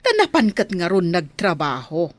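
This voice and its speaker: A woman's voice: fairly low pitch, wildly swooping pitch, normal speed. A woman playing a concerned, nagging middle-aged village mother in an Ilocano comedy radio drama, with an Ilocano Filipino accent. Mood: concerned, scolding.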